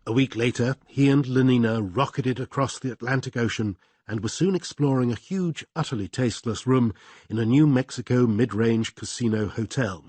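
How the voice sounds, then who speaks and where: A man's voice: medium pitch, normal speed, darkish tone, husky, dry recording, close to an everyday voice. A man giving scripted narration for a radio drama.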